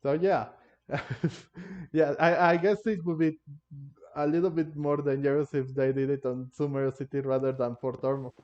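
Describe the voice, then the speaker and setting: A man's voice: fairly high pitch, flat delivery, normal speed, a little mellow, highs cut off. A man giving casual playthrough commentary on a game, thinking aloud hesitantly and repeating phrases. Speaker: gaming content creator.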